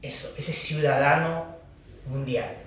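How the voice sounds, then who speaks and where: A man who is a Buddhist teacher, giving a dharma talk in a slow, halting manner with pauses and fillers. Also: fairly high pitch, fairly even intonation, a bit bright. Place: hall of a foundation. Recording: echoey room, distant mic.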